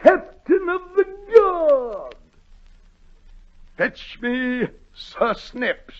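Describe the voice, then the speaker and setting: An actor playing a pompous, short-tempered king with commanding, booming outbursts in 1940s radio drama style. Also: big pitch swings, extremely slow, full character voice. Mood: shouting orders. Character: imperious, short-tempered, blustering old-time storybook king. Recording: dull, muffled 1940 radio recording.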